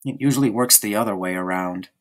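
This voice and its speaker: A narrator voicing a pale, quiet, frightened young boy in an audiobook, speaking softly and sadly. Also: sits low, quick pace, natural voice. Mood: subdued. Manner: quiet, subdued, sad. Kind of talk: audiobook character reading.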